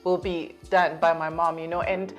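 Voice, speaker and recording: A woman's voice: lowish voice, little pitch movement, normal speed, soft background music. Chatty Zambian woman hosting a podcast. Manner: warm, chatty, laughs often, many fillers like "you know". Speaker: podcast host. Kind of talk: candid conversational interview.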